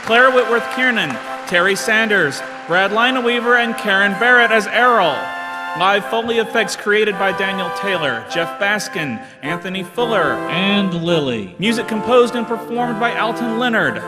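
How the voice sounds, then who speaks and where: A man's voice: sits high, normal speed. A man reading scripted credits as the announcer of a live radio theater show. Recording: live show with loud music underneath.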